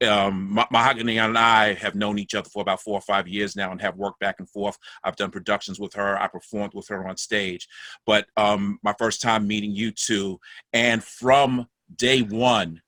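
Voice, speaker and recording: A middle-aged man moderates an online discussion in a fairly low voice with a bright, forceful edge. His wording is measured and a little formal, though the talk is casual. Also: level tone, no echo. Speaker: actor moderating a panel. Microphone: video call.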